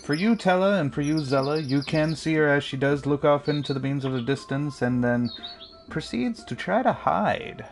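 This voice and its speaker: Game master for a tabletop session, mid-pitched, hesitant and wordy, light music with chirping birds underneath. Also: lively intonation. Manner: halting, roundabout phrasing. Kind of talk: casual tabletop game narration.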